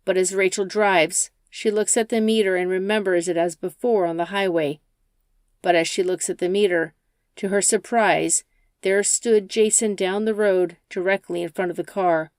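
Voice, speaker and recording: A woman's voice: mid-range voice, steady tone, normal speed, darkish tone, clean tone, dry recording, studio quality. A woman reading a scripted story as an audiobook narrator.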